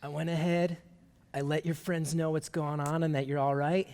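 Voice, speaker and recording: A man's voice: on the high side, level tone, moderate pace, darkish tone, natural voice. A man playing an earnest, helpful hippie college student in a staged radio play, easygoing and reassuring. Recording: faint noise on stage recording.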